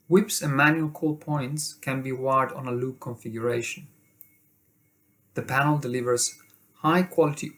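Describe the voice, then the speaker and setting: A man reading a scripted corporate product presentation as a company presenter. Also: medium pitch.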